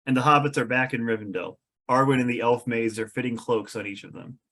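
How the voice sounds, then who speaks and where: Mid-pitched man reading stage directions. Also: a little fast, pushed and bright. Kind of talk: scripted narration.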